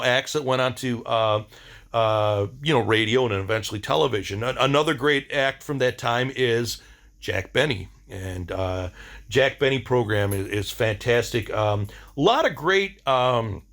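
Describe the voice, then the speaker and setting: A man's voice: mid-range voice, fairly bright tone. Casual enthusiastic man rambling informally. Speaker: video diary host. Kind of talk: casual rambling monologue reviewing favorites.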